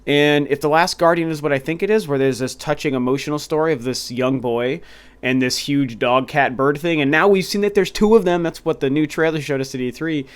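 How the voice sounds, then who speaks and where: A young man talks excitedly about upcoming games, circling back and restarting his sentences as he goes. His voice is clear, though stuffy and a bit nasal from a cold. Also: on the high side, fairly quick. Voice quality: slightly nasal. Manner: enthusiastic, rambling with restarts. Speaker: video game review host. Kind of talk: casual solo talk to camera.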